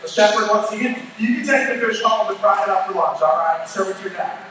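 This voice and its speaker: A man's voice: high-pitched, fairly even intonation, fast. A man working as a youth pastor, hosting games from a stage in an informal, commanding way, his voice a little strained. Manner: informal, commanding, shouts directions to the crowd. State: voice straining, starting to give out. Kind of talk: live stage hosting, hyping games for students. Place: large indoor church room with stage and PA. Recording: very echoey hall, distant mic, faint music.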